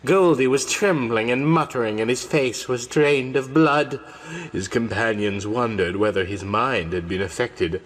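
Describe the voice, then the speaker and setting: A man's voice: medium pitch, unhurried. A man narrating a horror comic in a grave, dramatic, scripted storytelling style. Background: musical score.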